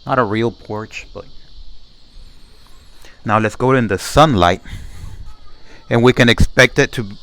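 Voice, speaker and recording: A man's voice: mid-range voice, a little slow, long pauses, a bit bright. A man giving a casual, unscripted hands-on demonstration as a product reviewer, narrating each step and often repeating himself.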